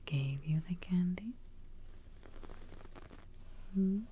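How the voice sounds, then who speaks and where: A young woman whispers very slowly in a soft, breathy ASMR roleplay, soothing the listener like a caretaking witch. Her tone is deeply mellow and reassuring, recorded up close with faint noise behind it. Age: young adult. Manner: gentle, soothing, reassuring, unhurried. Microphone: close microphone.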